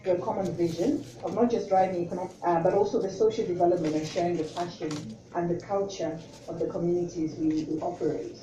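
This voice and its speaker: A woman's voice: on the low side, steady tone, fast, mellow, slight background noise. A woman, a bank executive reading a speech at an event, hesitant with many fillers. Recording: distant, echoey, with crowd behind.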